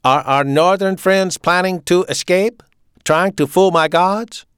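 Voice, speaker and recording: A man's voice: sits high, a little slow, a bit bright, clean tone, dry recording, clean studio sound, pitched above the performer's own voice. A man voicing a puny, authoritarian German prison camp commandant in an audiobook, with a staccato, demanding German accent. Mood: accusing.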